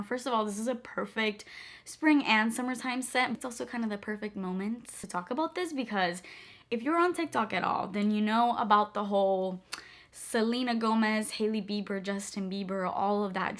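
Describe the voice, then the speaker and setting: A young woman vlogging at home about perfumes, gushing and chatty with lots of "like" fillers, her voice a little hoarse. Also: mid-range voice. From moved to the U.S. as a child. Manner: bubbly, gushing, many "like" fillers. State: slightly hoarse. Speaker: beauty vlogger. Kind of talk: casual chatty vlog.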